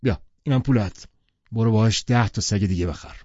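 A man's voice: lowish voice, dark tone, no room sound, dull audio, close to an everyday voice. A man voicing a brusque, commanding man in an audiobook, speaking bluntly and firmly. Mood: stern, dismissive. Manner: blunt, firm, bossy.

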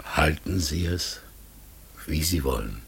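Mysterious raven, very deep, extremely husky, halting, pensive, radio drama. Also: male voice, expressive, heavily acted. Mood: guarded, pensive. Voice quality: husky, gravelly. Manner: halting, brooding, self-correcting formal speech. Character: mysterious talking raven, pensive, unsure of its own nature. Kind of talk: German radio play acting.

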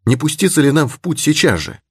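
A man's voice: fairly high pitch, very animated, a little fast, husky, no echo, studio quality, voice pushed up, heavily acted. A man voicing a brave, candid young creole lady in an adventure audiobook. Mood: anxious, eager. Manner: candid, charmingly simple, assured. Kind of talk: audiobook character in adventure novel.

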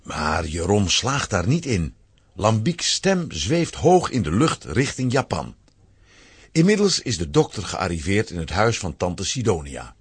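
Animated, husky male storyteller. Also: low-pitched, measured pace, dry recording. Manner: storytelling, dramatic emphasis. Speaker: narrator. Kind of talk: scripted children's story narration.

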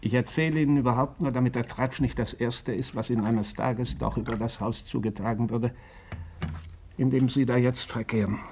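An actor playing a blunt, shrewd doctor with a deliberate, sardonic, probing manner in a German radio drama. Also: male voice, medium pitch, steady tone, background noise, close to an everyday voice. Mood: frank, insistent. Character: blunt, shrewd, bespectacled male country doctor.

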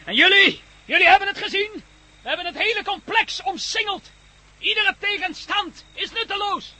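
An actor playing a cocky, menacing gang leader in a radio drama, barking orders with a sardonic edge. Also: male voice, very high voice, moderate pace, pushed and bright, full character voice. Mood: commanding. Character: cocky commanding male armed gang leader, menacing, mocking.

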